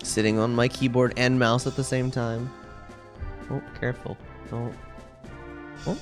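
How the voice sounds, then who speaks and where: A video game streamer chatting casually with viewers on a live stream, rambling and thinking out loud. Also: medium pitch, level tone, a little slow, music in the background.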